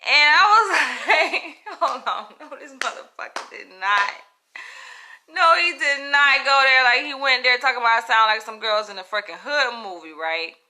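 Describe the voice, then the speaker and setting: A woman with a Southern US accent, telling a story to camera in a frank, animated, profane way. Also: high-pitched, moderate pace. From Florida, US. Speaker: comedian. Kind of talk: casual storytelling vlog.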